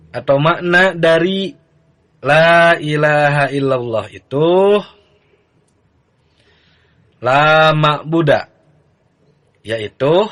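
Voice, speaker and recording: A man teaching a religious text to beginners in a relaxed, easygoing manner. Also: a little high. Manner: relaxed, chanting the text lines in a sung recitation. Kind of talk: kitab reading lesson.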